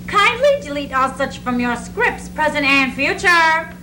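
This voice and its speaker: A woman's voice: sits high, sing-song, unhurried. A young woman giving a theatrical scripted reading in readers theatre, recorded noisily on stage. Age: young adult. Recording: noisy 1970s amateur stage recording.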